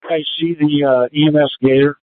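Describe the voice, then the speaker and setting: A man's voice: fairly high pitch, fairly even intonation, moderate pace, no room sound. A man speaking in terse operational style as a police command operator over a narrowband two-way radio.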